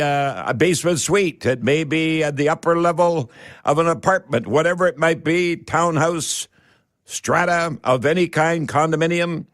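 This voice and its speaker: A man's voice: a little high, no echo, studio quality. A man hosting a live radio call-in show in a folksy manner, repeating his catchphrases.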